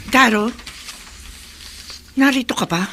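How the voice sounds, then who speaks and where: An actress playing a solemn, vengeful sacred dancer in a Filipino horror radio drama, grave and accusing. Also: female voice, on the high side, takes it slowly, sharp tone, a little hiss or hum.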